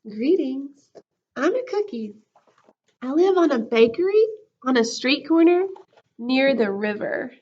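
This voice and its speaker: A woman voicing a young, earnest, self-doubting cookie who tells a storybook tale in a friendly, expressive way. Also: high-pitched, measured pace, pauses often, husky, lo-fi sound, heavily acted. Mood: cheerful, welcoming.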